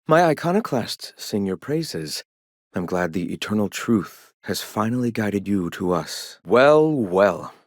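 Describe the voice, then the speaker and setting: An actor playing a zealous philosopher who leads an anti-corporate rebel sect in a video game, speaking in lofty, measured, formal oratory. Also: male voice, fairly low pitch, big pitch swings, no room sound, clean studio sound, full character voice. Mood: serene, preacherly. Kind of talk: video game character dialogue.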